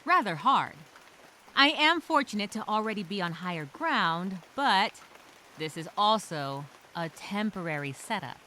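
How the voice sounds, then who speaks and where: Woman acting a bright, expressive stranded castaway. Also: medium pitch, measured pace, a little hiss or hum, rough recording. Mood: focused, weary. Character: stranded woman castaway, scared, determined, writing a survival journal. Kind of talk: dramatic first-person journal monologue.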